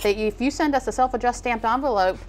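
A woman co-hosting a local TV morning show from a studio kitchen set, cheerful and clear as she reads out contact details. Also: a little high, fast, a little hiss or hum. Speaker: TV show co-host.

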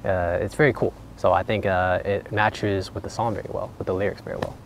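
A man's voice: low voice, level tone, a little fast, noisy background. A young man casually explaining his songwriting outdoors, thinking aloud with fillers. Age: young adult. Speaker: vlogger and songwriter. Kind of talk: casual explanatory vlog talk. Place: outdoors by a lake in winter. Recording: background music under voice.

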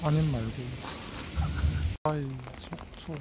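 A travel vlogger speaks at a slow pace, murmuring casual remarks and exclamations as if to themselves. The pitch sits in the middle. It is recorded outdoors on a handheld device, with clearly audible rain and vehicle noise behind the voice. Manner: musing to self, murmured exclamations. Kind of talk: casual, spontaneous commentary while sightseeing. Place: outdoors on a bridge and harbour waterfront in rain. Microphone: handheld phone or camera held by speaker. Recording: noisy outdoor phone recording, rain and traffic.

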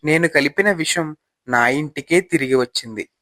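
A man's voice: a little high, normal speed, bright, forceful tone, no echo, clean studio sound. A man playing an envious, brooding village husband who gardens, in a moral storybook tale. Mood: guilty, remorseful.

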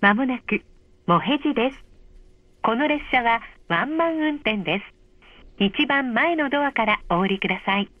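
Female train announcer, higher register, polite measured delivery, slightly lo-fi onboard speaker sound. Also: animated, a little hiss or hum. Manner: polite, measured, clearly enunciated. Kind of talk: scripted automated train announcement. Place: played over train car speakers. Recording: dull, muffled train speaker recording.

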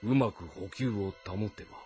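A man's voice: fairly low pitch, a little mellow, soft background music, natural voice. Commanding, brooding warlord, played by a man. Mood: calculating, resolved. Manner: brooding, deliberate, authoritative. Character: commanding, calculating male warlord of ancient China. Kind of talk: dramatized historical game monologue.

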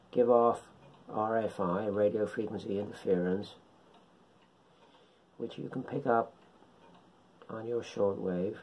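A middle-aged man giving an informal tutorial in short, halting phrases, speaking at home into a camera microphone. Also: on the low side, creaky, highs cut off. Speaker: amateur radio hobbyist presenter. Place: indoors, living room at home.

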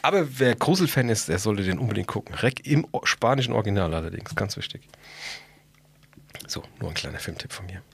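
A middle-aged man co-hosts a podcast in a husky voice, talking in a slangy, enthusiastic way. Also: lowish voice, lively intonation, brisk, no echo. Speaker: podcast co-host. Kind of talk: casual podcast chat.

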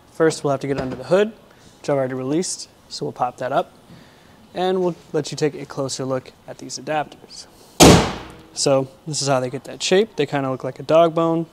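Young man, 20s, mid-pitched, casual how-to demo, indoor garage, faint background hum. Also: a little mellow. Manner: casual, explanatory, walks through steps while working. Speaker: product installer and presenter. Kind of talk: product installation demo.

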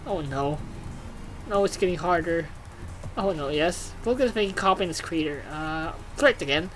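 An excitable gaming host giving casual commentary, exclaiming and swearing while reading game text aloud. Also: medium pitch, normal speed, slight background noise, low-quality recording.

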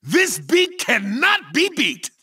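An actor voicing a brash, boastful male chicken kart racer in a video game, with a cartoonish, squawky, shouty delivery. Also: high-pitched, wildly swooping pitch, takes it slowly, recorded from a distance, heavily acted. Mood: cocky. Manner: cocky, boastful, wisecracking. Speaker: game character.

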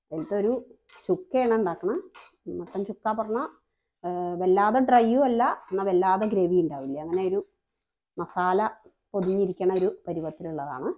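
A woman's voice: fairly low pitch, normal speed, pauses often, phone-quality sound, a little hiss or hum. A woman hosting a home cooking channel, talking through each step in a chatty, explaining way. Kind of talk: cooking demonstration voice-over.

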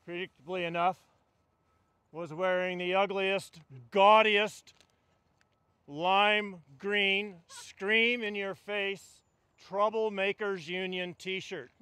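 A man's voice: high-pitched, sharp tone, clear, resonant tone, no echo. Older man giving a wry outdoor eulogy. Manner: wry, storytelling delivery. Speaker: union delegate giving a eulogy. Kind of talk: funeral eulogy. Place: outdoors at a funeral gathering among trees. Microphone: stand mic.

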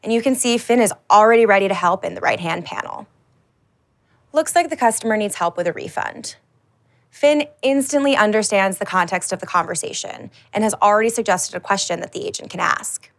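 A woman in her thirties giving a scripted product demo as a marketing presenter, with a polished, upbeat, clearly enunciated delivery. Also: medium pitch, expressive, a little fast. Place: large dark indoor studio stage.

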